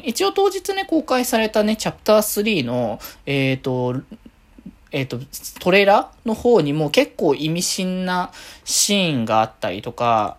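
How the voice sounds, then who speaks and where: A man presents a casual fan podcast on his own. His intonation is very animated, rising and falling widely. He thinks aloud as he goes, halting often and stretching out his hesitations. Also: a little high. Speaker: podcast host.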